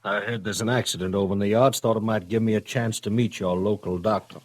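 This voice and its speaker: A man in a vintage radio western, playing a cool, courteous, quietly menacing manhunter who speaks politely and with measure. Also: fairly low pitch, steady tone, fast talker, no room sound, lightly acted.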